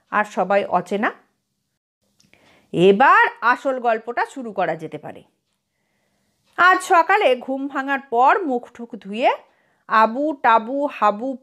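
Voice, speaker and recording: A woman narrating a comic story from a script in an expressive, animated way, acting out the characters' lines. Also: on the high side.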